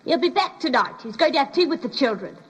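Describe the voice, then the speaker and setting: Shrill, chatty British charwoman, reverberant. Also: expressive, fast talker. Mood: chatty, oblivious. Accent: working-class British. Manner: garrulous, scolding, comically oblivious. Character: chatty, grumbling working-class British charwoman, stubborn and guileless. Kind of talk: old-time radio drama character acting. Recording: reverberant vintage radio recording.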